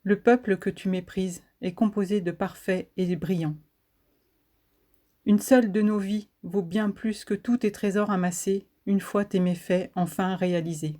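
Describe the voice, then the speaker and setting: A woman reciting spoken-word poetry in a solemn, declamatory manner, phrasing each line deliberately. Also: mid-range voice, steady tone, warm tone. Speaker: poet reciting own poem.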